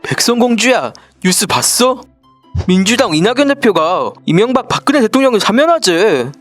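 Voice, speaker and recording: A very animated would-be politician acted by a woman chatters in a fairy-tale satire, naive yet scheming. The talk is casual, exclamatory and wheedling, swinging widely in pitch over faint music. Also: normal speed, no echo. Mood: surprised. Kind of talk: scripted political satire dialogue, storybook parody.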